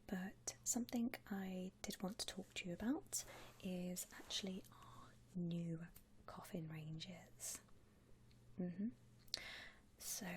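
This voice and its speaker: Slow, whispering ASMR woman. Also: fairly low pitch, slight background noise. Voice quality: breathy, mostly whispered. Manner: soft-spoken, gentle, attentive customer-service patter. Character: polite, attentive female vampire coffin-shop consultant. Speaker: ASMR roleplay performer, playing a shop consultant. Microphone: close ASMR microphone.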